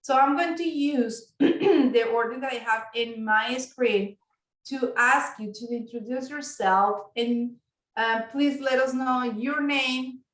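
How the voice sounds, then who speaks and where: Echoey higher-pitched woman teaching online. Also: slow. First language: not English. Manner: encouraging, appreciative. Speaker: workshop instructor and host. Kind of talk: teaching an online business workshop. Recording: roomy echo on online video call.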